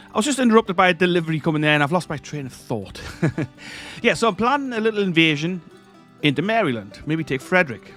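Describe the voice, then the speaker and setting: A man's voice: medium pitch, a bit bright. Man with a stuffy cold, rambling. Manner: rambling, self-correcting, chuckles often. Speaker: strategy game let's-play host. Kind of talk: casual gameplay commentary.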